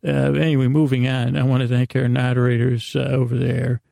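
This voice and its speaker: Gravelly, mellow man rambling at bedtime. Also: mid-range voice, little pitch movement, dry recording, studio quality. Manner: halting and meandering, with stammered restarts and trailing tangents; self-deprecating, gently reassuring. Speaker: podcast host. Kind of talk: rambling, improvised bedtime podcast monologue.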